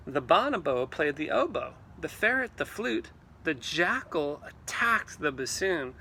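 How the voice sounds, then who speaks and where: Man, children's read-aloud, high bright voice, slow pace, theatrical, background noise. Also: big pitch swings. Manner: theatrical, with exclamations and asides to children.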